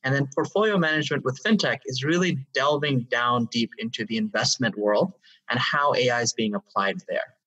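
A man in his thirties from Hong Kong, a guest explaining his consulting work in a measured way with some fillers. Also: medium pitch, pushed and bright, slightly rough, far from the mic, rough recording. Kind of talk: interview answers.

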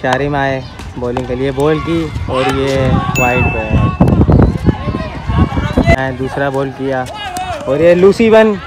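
A man's voice: mid-range voice, slow, long pauses, over a noisy crowd. A man commentating on a street cricket match outdoors, describing each ball and cheering the players on. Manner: excited praise of players. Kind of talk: slow, relaxed cricket commentary. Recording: loud outdoor noise on a phone.

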